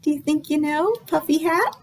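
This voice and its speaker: A woman hosting a storytime for young children, reading aloud in an exaggerated, encouraging way and pausing after questions to invite guesses. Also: high-pitched, darkish tone. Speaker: children's librarian, storytime host.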